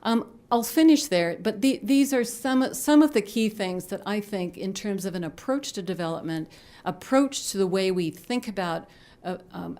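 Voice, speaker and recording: A woman's voice: on the low side, vocal fry, darkish tone. A woman speaking on a conference panel, hesitant, with many fillers. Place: lecture hall.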